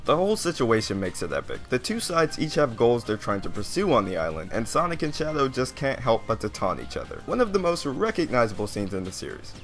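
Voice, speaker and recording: A man talks through a countdown of video game scenes in a conversational, enthusiastic voice at a middle pitch. Soft music plays behind him. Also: huge pitch swings, a little fast, hardly any pauses. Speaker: YouTube reviewer. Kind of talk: video game top ten commentary.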